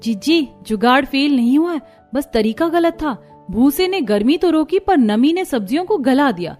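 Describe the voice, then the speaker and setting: A woman's voice: sits high, expressive, normal speed, a little mellow, faint music behind. A woman voicing a thoughtful, hopeful youngest daughter-in-law in a cartoon, reasoning earnestly. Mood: hopeful, determined.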